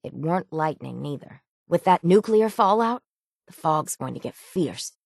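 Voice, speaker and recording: Low, gravelly, weary island sailor. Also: female voice, expressive, moderate pace, dry recording, clean studio sound, heavily acted. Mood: gruff, wry. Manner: folksy, salty, plainspoken rural phrasing. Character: gruff, world-weary island sailor woman, stoic and sardonic. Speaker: video game voice actor. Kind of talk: video game character dialogue.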